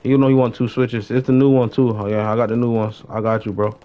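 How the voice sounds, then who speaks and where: A young adult man with a mid-pitched voice talks casually while streaming a game. He is slangy and repeats short phrases. The mic sound is dull and lo-fi. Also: little pitch movement, fast talker. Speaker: live-streamer playing an online role-play game. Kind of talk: casual gaming stream chat.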